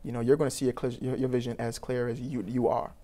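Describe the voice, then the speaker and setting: Young Trinidadian man, program founder, fast and earnest with frequent restarts. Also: medium pitch, level tone, dark tone. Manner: earnest, rambling, many fillers and restarts. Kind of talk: interview answers.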